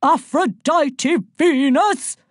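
Woman playing a stern giantess inspector, booming, barking, indignant, officious, raised pitch, storybook character. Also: big pitch swings, normal speed, breathy edge, no echo, studio quality, heavily acted. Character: huge, stern, officious female health and safety inspector.

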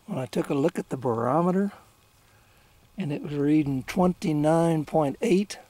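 A man's voice: fairly high pitch, normal speed, warm tone, no room sound. An older man hosting a fishing video from a small boat on a lake, chatting folksily to the camera and to the fish he catches. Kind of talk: casual talk to camera while fishing. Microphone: camera mounted on the boat. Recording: faint outdoor noise.

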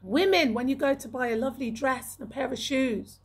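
A woman's voice: sits high, fairly quick, breathy edge. A middle-aged woman talking to camera as a video host, giving emphatic advice and repeating phrases for weight, close on a studio microphone. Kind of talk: advisory talk to camera. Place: small indoor room. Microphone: large studio microphone with pop filter, close.

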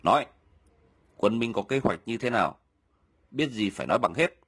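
A man voicing a stern medieval army general in an animated historical film, firm and authoritative. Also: fairly low pitch, normal speed, slight background noise. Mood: threatening.

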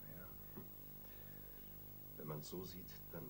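Reluctant, lowish-voiced husband. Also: male voice, sing-song, slow, gravelly, darkish tone, slight background noise, full character voice. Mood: resigned. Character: conscience-stricken pharmacist husband, reluctant and yielding. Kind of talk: German radio crime drama acting.

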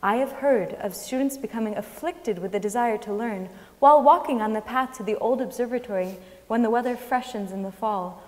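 A woman giving a scripted dramatic reading on the stage of a large auditorium. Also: a little high. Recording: long hall reverb.

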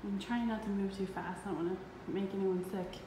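A middle-aged woman giving a slow, plain walkthrough of an empty townhouse, filmed on a handheld phone. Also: mid-range voice, steady tone, noisy background. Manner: slow, plain, pausing between rooms. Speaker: parent giving a house tour. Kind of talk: casual walkthrough narration. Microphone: phone camera held while walking. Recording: echoey empty rooms with long reverb.

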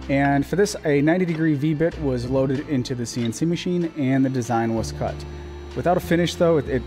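A man in his thirties talks through a woodworking build in a voice-over. His delivery is plain and practical, with small restarts along the way, over faint background music. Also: mid-range voice. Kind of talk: voice-over narration of a workshop project.

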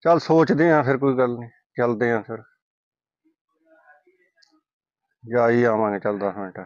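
An actor as a plain-spoken Punjabi village man in an amateur family drama. His voice sits at a middle pitch and sounds conversational. The recording is dry and a little dull. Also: fairly even intonation, brisk, darkish tone, no echo, natural voice. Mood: musing.

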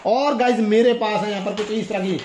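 A man's voice: high-pitched, steady tone, brisk, a little mellow, a little hiss or hum, rough recording. A young man hosting a casual toy review, demonstrating things enthusiastically and often repeating himself. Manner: enthusiastic, repetitive, exclaiming. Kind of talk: casual product demonstration to viewers.

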